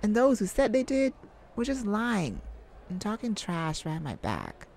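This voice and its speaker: A woman voicing a bashful, flirtatious male lounge singer in a soft, hesitant, intimate ASMR roleplay. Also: medium pitch, wildly swooping pitch, unhurried, slight background noise. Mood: shy, doubtful.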